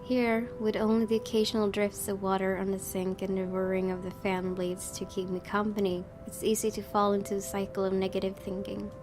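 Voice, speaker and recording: Female gaming YouTuber, casual and expressive read-aloud, clear game music underneath. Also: medium pitch, fairly quick, hardly any pauses. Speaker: gaming YouTuber reading a visual novel aloud. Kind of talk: casual let's-play commentary and read-aloud narration. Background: game music with guitar.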